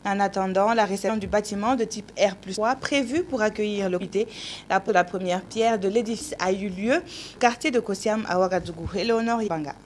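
A middle-aged woman reading the news as studio anchor, in a steady, measured way. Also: mid-range voice. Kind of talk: read news presentation.

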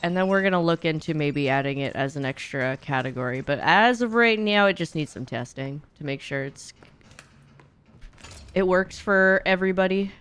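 A woman's voice: low-pitched, normal speed, fairly bright tone, clear, resonant tone. A young woman streamer chatting casually and candidly as she plays, swearing freely and thinking aloud into a close microphone. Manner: candid, sweary, talking through thoughts aloud. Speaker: video game streamer and speedrunner. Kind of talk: casual livestream gameplay chat. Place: small home streaming room, indoors.

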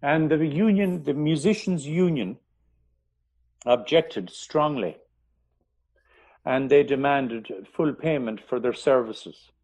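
A man, a historian, telling history in an interview, pausing often with ums and restarting his sentences. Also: mid-range voice, darkish tone. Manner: hesitant, many ums and restarts. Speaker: historian guest.

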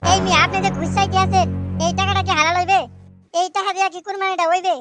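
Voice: very high voice, level tone, fast. A performer voicing a blunt, principled cartoon man who argues against bribery, with a Bangladeshi regional accent. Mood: questioning. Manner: blunt, argumentative. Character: plain-spoken, principled man refusing to pay bribes. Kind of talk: comic cartoon voice acting. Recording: loud music behind the voice. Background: loud music.

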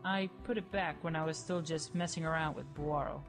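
A woman's voice: low-pitched, steady tone, brisk, soft background music. A woman voicing a sarcastic, brash young dead woman in a fan radio play, snappy and exclaiming. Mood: snarky.

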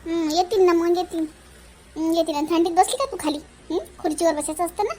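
A woman's voice: very high voice, fairly quick, warm tone, a little hiss or hum. A woman voicing a bossy but caring village woman in a family comedy cartoon, emphatic, with a Vidarbha Marathi accent. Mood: concerned, chiding. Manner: chiding, reassuring, emphatic.

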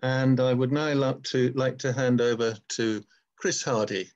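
An older man presents his poetry at an online reading. His voice is mid-pitched and he takes his time, speaking slowly and with measured care. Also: fairly even intonation, a little mellow, muffled recording. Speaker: poet. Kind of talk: introducing and reading own poem at an online poetry event.

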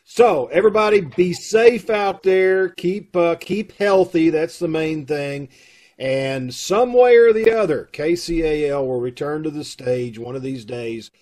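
A middle-aged man with a husky voice, making folksy, casual announcements to an online audience over a video call. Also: fairly high pitch, unhurried, a little mellow. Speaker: troupe host making announcements. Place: room at home, on video call. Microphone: video call microphone.

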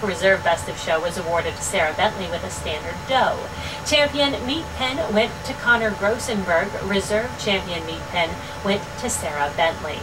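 A young woman hosting a local radio station's daily fair update, reading results and schedules in a steady, upbeat scripted way. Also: medium pitch. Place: studio with dark backdrop. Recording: distant mic with noticeable hiss.

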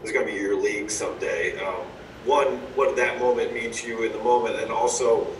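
A man's voice: on the low side, steady tone, fairly quick. A man working as a sports reporter, asking a long, detailed question at a press conference. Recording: distant, echoey line with noise.

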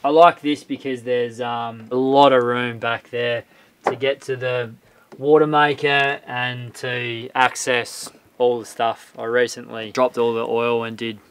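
A young man talks casually on board a catamaran, easygoing and a little jokey as he points out gear. His voice sits in a middle pitch. Faint background noise runs under the recording. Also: a little slow. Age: young adult. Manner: relaxed, joking, explains gear plainly. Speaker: sailing vlogger. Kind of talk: casual boat tour. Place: on a sailing catamaran, deck and cabin.

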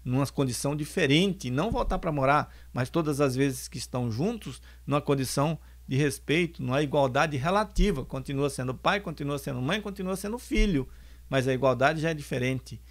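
A man in his sixties giving a calm spoken lecture on family, explaining points and repeating them, into a close microphone in a home studio. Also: on the high side, fairly quick. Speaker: spiritist lecturer and singer. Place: home studio, bookshelves behind. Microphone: orange foam mic on stand, close.

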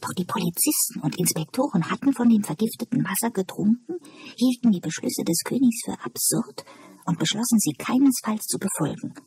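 A narrator plays a woman in an asylum from an audiobook novel, calm and philosophical. She explains things in a musing way, with a dark, husky voice. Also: mid-range voice, a little fast, pitched above the performer's own voice. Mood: storytelling.

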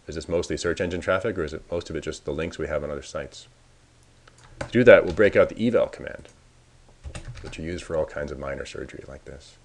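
A man narrating a casual screencast tutorial as the presenter, informally thinking aloud as he works. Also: very deep voice. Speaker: software presenter giving a demo.

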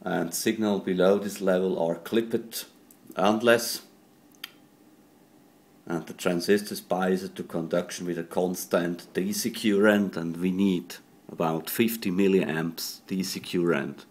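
A non-native English-speaking man giving an improvised hands-on electronics tutorial at a workbench, talking haltingly in short phrases. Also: sits low, fairly even intonation. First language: not English. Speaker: electronics hobbyist demonstrating a radio modification. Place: indoors at a workbench.

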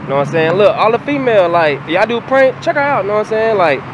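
A man's voice: sits high, animated, fast, noisy background. A young American man hosting pranks in casual, slangy banter, often repeating himself. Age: young adult. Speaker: prank show host. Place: outdoor parking lot and inside a large store.

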